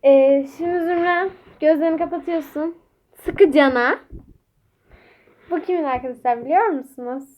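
A woman's voice: high-pitched, moderate pace, darkish tone. A woman chatting casually as she hosts a homemade quiz game and speaks to viewers. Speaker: vlog host quizzing a child.

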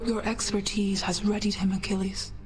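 A woman plays a motherly goddess of night from a video game. The mid-pitched voice is stately, calm and measured, with formal diction. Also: natural voice, low-quality recording. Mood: calm, reassuring. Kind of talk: video game character acting.

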